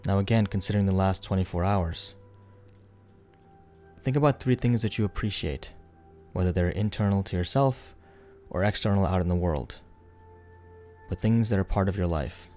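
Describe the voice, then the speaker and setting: Deep, mellow man guiding a meditation. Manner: soothing, unhurried, instructional with pauses. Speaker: guided meditation leader. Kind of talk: guided meditation narration. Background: background music.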